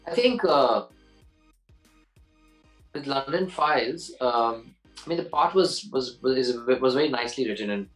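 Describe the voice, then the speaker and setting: A man's voice: medium pitch, expressive, measured pace, pauses often, a bit bright, faint music behind, low-quality recording. A man in his forties, an actor answering interview questions in a loose, rambling way, often restarting and repeating words, with easy laughs. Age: 40s.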